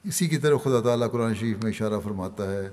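An older man with a Pakistani Urdu accent delivering a Friday sermon, reading from notes at a podium microphone in a large mosque hall in a measured, solemn manner. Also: lowish voice, a little mellow. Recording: long reverb of a large hall.

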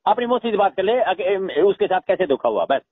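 A man's voice: high-pitched, sing-song, fairly quick, breathy edge, dry recording. A middle-aged man with a Haryanvi accent, arguing insistently on a recorded phone call and repeating himself to be heard. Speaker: father-in-law in a family dispute. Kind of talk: heated phone conversation. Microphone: telephone.

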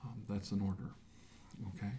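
Low-voiced earnest mission leader played by a man. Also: flat delivery, moderate pace, noisy background, natural voice. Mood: earnest, commanding. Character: earnest middle-aged male scientist leading an armed mission. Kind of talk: amateur sci-fi role-play, briefing a team.

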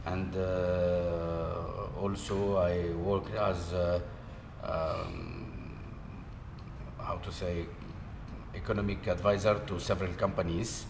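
A low-voiced, middle-aged man works through his answers in hesitant, non-native English, filling the gaps with "uh." He sounds as if he is in a large, echoing hall with long reverb. A steady wash of background noise sits under his speech. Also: creaky. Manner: hesitant, halting, with frequent "uh" fillers. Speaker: interview guest. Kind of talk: conversational interview answers.

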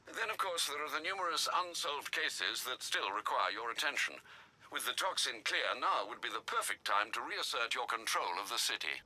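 An actor playing a loyal older butler in a game, formal, dry and deferential. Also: male voice, mid-range voice, expressive, normal speed, sharp tone, low-quality recording. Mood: earnest, composed. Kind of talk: video game drama acting.

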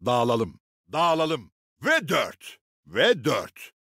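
Game role: gruff male squad leader, seasoned and commanding, very animated delivery, close dry recording. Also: mid-range voice, drawn-out words, no room sound, exaggerated voice. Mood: alert, commanding. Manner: authoritative, clipped commands with dry swagger. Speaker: game character voice actor. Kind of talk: video game voice lines, short military barks.

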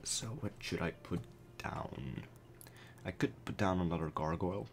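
Young man, very low strained voice, cracking, laid-back game explainer, faint hiss behind. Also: normal speed, creaky. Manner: relaxed, explanatory, many fillers. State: voice cracking after a concert. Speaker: gameplay commentator. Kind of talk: casual gameplay commentary.

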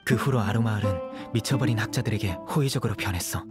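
A man voicing a calm, stern young male judge in a dubbed game, speaking in a measured, dry way. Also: fairly low pitch, music in the background, lightly acted. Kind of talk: game dub voice acting.

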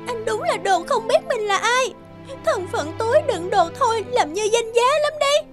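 A woman's voice: very high-pitched, animated, moderate pace, over music. A woman voicing a blunt, world-weary discarded juice bottle in a children's cartoon, scolding and matter-of-fact. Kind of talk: animated children's cartoon acting.

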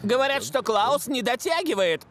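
Cocky young cartoon footballer, high creaky voice, chatty, self-assured, lively melody. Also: male voice, normal speed, heavily acted.

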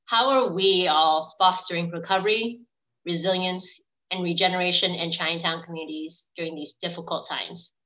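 A woman's voice: on the low side, moderate pace, pushed and bright. A woman in her thirties hosting a casual online event over a video call. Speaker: event host. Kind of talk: casual livestream hosting, reading out comments. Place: indoors on a video call with virtual background. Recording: dull, lo-fi video-call audio.

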